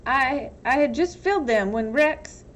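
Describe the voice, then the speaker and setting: A woman's voice: high voice, expressive, a little slow, a little hiss or hum, voice pushed up. A woman reading a storybook voices a meek, flustered man who works as a camp counselor, hesitant and stammering. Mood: flustered protest.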